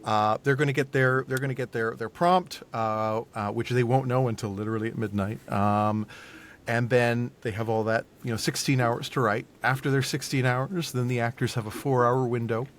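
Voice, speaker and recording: A man chatting as an interview guest, explaining in a halting way and often repeating his words. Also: mid-range voice, fairly even intonation. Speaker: audio drama festival organizer, podcast guest. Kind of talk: casual interview chat.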